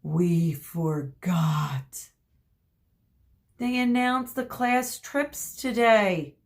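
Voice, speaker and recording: A woman's voice: mid-range voice, animated, extremely slow. A woman voicing a puzzled, earnest young centaur boy in a children's storybook. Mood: dismayed realization.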